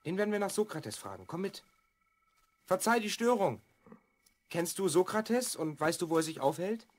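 Boy time traveller, twelve. Children's audio drama, highish pitch, earnest, emphatic delivery. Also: very animated, moderate pace, no echo. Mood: brisk, teasing.

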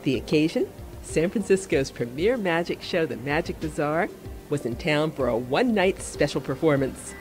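A man narrating a radio documentary in a measured, scene-setting way. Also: a little high, lively intonation, faint music behind.

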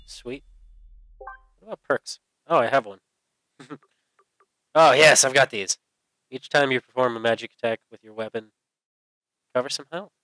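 A man's voice: medium pitch, unhurried, long pauses, sharp tone, dry recording, poor audio. A man giving casual, sweary gameplay commentary, mostly talking to himself as host. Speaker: let's play host.

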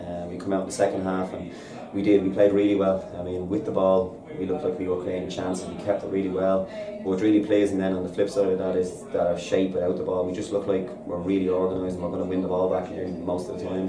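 Irish manager, 30s, post-match chat: low, even-toned voice, rattled off fast, roomy echo, crowd noise behind. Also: male voice, distant mic. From Ireland. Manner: upbeat, fast and repetitive, says "listen" a lot. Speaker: football manager. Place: bare indoor room at a stadium. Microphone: reporter's handheld recorder held out. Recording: echoey room, off-mic, crowd behind.

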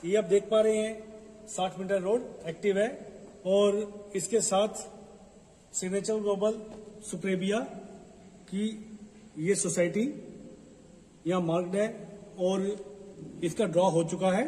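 A man's voice: high voice, steady tone, dark tone. Man touring an echoey empty building. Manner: slow, explanatory, pointing things out. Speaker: property dealer giving a site tour. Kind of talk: walkthrough property tour narration. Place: unfinished commercial building, indoors and on upper floors. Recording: echoey, roomy building with ambient noise.

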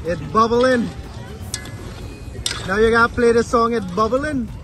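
A man's voice: extremely high pitch, a little slow. A man with a Guyanese accent hosting a casual family vlog on a beach, joking and teasing the people around him as he films on a phone. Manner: jokey, teasing, laughs often. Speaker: vlog host. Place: sandy beach outdoors. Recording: outdoor beach with music and crowd chatter. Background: background music and crowd chatter.

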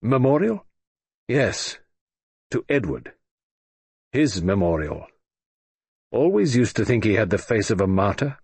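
Frail old man lost in thought, voiced by a male narrator; halting, husky, slow. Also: on the low side, sing-song, a little mellow, no room sound, exaggerated voice. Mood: musing. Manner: halting, reflective. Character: frail older man, musing and distracted.

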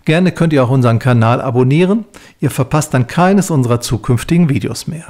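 A man's voice: medium pitch, animated, brisk, darkish tone. A man reading a scripted voice-over as the narrator of a travel vlog.

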